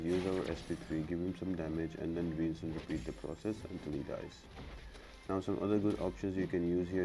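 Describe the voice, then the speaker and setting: A man's voice: sits low, dark tone. A man hosting a gaming guide, explaining a walkthrough step by step and addressing viewers directly in an instructional way. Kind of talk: explanatory game walkthrough commentary. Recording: clearly noisy background.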